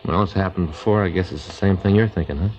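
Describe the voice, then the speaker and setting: An actor playing a plain-spoken police detective with an American accent in a 1950s radio drama, low-key and conversational. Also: male voice, quick pace, a little mellow, natural voice. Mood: casual. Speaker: supporting actor, detective's partner. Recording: muffled, noisy old radio recording.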